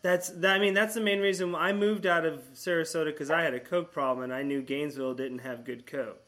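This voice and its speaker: A man with an American accent and a clearly bright, slightly high voice. He hosts a loose, casual chat. He starts questions haltingly, repeating phrases, and swears freely. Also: steady tone. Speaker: host interviewing his friend.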